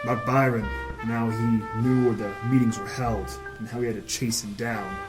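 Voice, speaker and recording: An actor playing a hard-boiled, gruff but protective private eye in a noir audio drama. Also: male voice, medium pitch, steady tone, moderate pace, lightly acted. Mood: brooding. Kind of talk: noir radio drama dialogue and narration. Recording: music under the voice.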